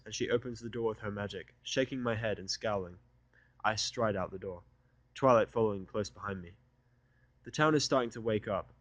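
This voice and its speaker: A man's voice: on the low side, no echo. A man narrating first-person fiction with a sardonic, irritable delivery. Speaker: audiobook narrator.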